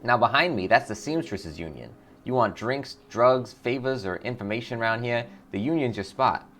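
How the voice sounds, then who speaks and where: A man's voice: medium pitch, lively intonation, normal speed, fairly bright tone, pitched above the performer's own voice. A man voicing a chatty, streetwise food vendor in a video game. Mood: friendly pitch. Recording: clear background noise.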